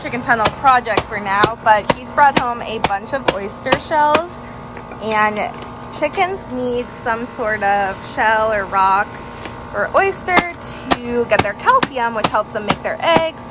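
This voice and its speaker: A chatty woman with a fairly high voice narrates her backyard project while holding the phone camera outdoors. Her tone is upbeat and casual, and a music bed plays under her. Sounds of the open yard come through. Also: animated, measured pace, rough recording. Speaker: vlogger. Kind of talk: casual home vlog narration. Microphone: handheld phone camera.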